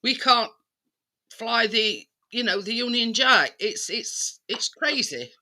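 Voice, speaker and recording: A woman's voice: fairly high pitch, animated, takes it slowly, pushed and bright. A woman from England chatting casually and insistently, circling around her point and checking that she is understood. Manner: insistent, circling, frequent "you know what I mean". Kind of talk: casual opinionated live-stream chat.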